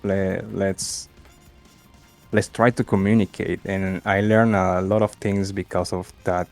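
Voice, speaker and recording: A soft-spoken man with a strong non-native accent who answers in short, halting phrases. His voice is fairly low and flat, and faint music plays underneath. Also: gravelly. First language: not English. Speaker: guest, game content creator. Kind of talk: interview answers.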